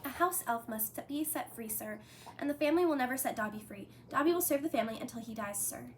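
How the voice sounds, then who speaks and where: A woman voicing a small, servile, tearful male house elf with a high-pitched voice who speaks of himself in the third person. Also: animated, fast, a little hiss or hum, lightly acted. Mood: anxious.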